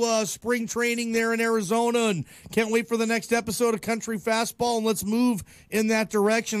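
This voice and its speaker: A male sports radio host with a fairly high voice in a dry studio. He chats casually and informally, like he is talking to a buddy. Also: no echo. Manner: chummy, informal, calls guest "man" and "brother". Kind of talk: casual live radio chat.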